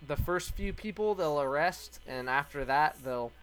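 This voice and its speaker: A man's voice: on the high side, unhurried, a little hiss or hum, poor audio. Young man with a southern Kansas twang. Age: young adult. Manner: blunt, profane, animated storytelling. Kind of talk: casual chat.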